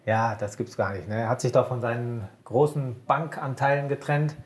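A man co-hosting a casual market chat, calmly walking through stock charts point by point. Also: medium pitch, moderate pace. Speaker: co-host of a broker's market show.

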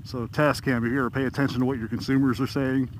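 A man's voice: mid-range voice, little pitch movement, fast. A man riding a motorcycle and talking frankly into a helmet headset mic, grumbling and swearing casually. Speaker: motorcycle vlogger. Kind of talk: casual vlog talk while riding. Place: on a motorcycle on city streets, outdoors. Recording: loud wind and engine noise. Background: motorcycle engine and traffic.

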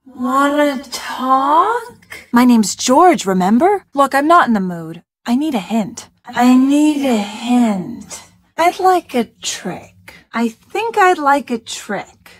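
Game sidekick: young woman, plucky and wry, fairly high voice, dry studio sound. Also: dry recording, heavily acted. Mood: wry, casual. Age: young adult. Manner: casual, wry, forthright. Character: plucky, wry young female detective's friend. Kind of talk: video game character acting.

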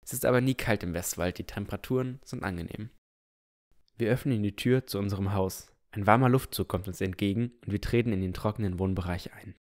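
Man playing an earnest young explorer, low-voiced. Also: brisk, no room sound, clean studio sound, natural voice. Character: boy narrator Noel, earnest, curious would-be explorer. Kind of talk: scripted first-person audio drama narration.

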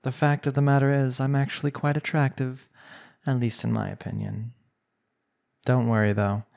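A man's voice: mid-range voice, level tone, creaky, darkish tone, lightly acted. A man playing a smug, bratty villain who flirts with his captive in an intimate, condescending ASMR roleplay. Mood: vain, amused. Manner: teasing, condescending, unhurried pet-name sweet talk.

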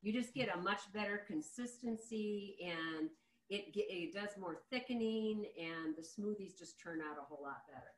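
A woman's voice: medium pitch, normal speed. A middle-aged woman giving an informal, conversational cooking demonstration over a video call. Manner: conversational, explanatory. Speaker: cooking demo presenter. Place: home kitchen, indoors. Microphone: video call, device across the counter. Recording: roomy, echoey video-call sound.

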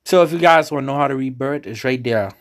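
A man's voice: mid-range voice, quick pace, creaky, slight background noise. A man from the Bahamas with a Bahamian accent, giving casual commentary as he hosts a gaming video.

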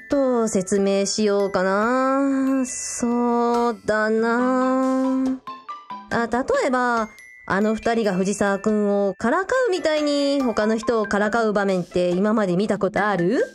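A woman's voice: fairly high pitch, slow pace, soft background music. A woman playing a teasing, sociable young elder sister in a radio drama, with a casual, playful way of talking. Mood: fond, explaining.

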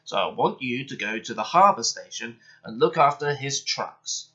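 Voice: medium pitch, expressive, moderate pace, pushed and bright, breathy edge, lo-fi sound. A storyteller voicing a stern, authoritative male railway controller in a children's story. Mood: brisk. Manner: severe, commanding.

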